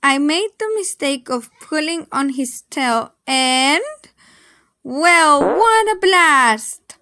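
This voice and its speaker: A woman reading a children's story aloud in an expressive, dramatic storytelling manner. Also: high voice, slow, dry recording, studio quality. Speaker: storybook reader.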